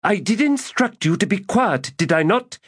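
A man's voice: a little high, big pitch swings, a little slow, no echo, pitched above the performer's own voice. Brief: man voicing a raised, clipped, severe priestess, dry audiobook sound. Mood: curt. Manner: sharp, curt, exasperated. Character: stern, exasperated female high priestess. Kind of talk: audiobook character voice.

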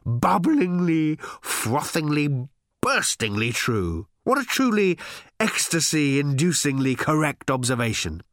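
Squealy little flying robot, high and gushing, all swoony and overjoyed, storybook. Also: male voice, big pitch swings, takes it slowly, fairly bright tone, no room sound, studio quality, exaggerated voice.

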